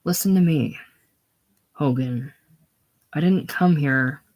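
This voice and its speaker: Reader doing a snooty young gent, indignant, talks slow and clipped. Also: mid-range voice, a little mellow, poor audio. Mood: angry. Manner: curt, indignant, condescending. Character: stiff, haughty young gentleman, easily rattled.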